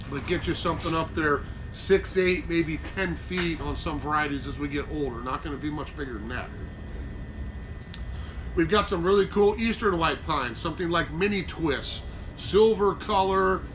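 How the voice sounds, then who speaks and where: Older American male presenter, fairly high voice, chatty teaching style, light ambient noise. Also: a bit bright, rough recording. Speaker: nursery class presenter. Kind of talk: informal gardening lecture. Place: covered wooden garden-nursery structure. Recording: noticeable background noise.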